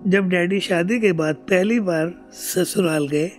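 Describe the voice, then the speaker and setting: A man's voice: sits high, takes it slowly, soft background music. A man speaking as an interview guest, telling family anecdotes in a conversational way.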